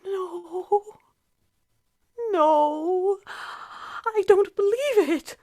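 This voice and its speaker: A woman's voice: very high voice, darkish tone, no room sound, clean studio sound, heavily acted. A woman playing a frantic, self-pitying invalid wife in a 1940s-style radio suspense drama, nervous, demanding and hysterical. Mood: disbelieving dread.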